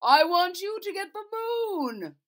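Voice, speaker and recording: A woman voicing a demanding old king in a storybook, loud and commanding, pitched high above her own voice. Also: sing-song, slow, heavily acted.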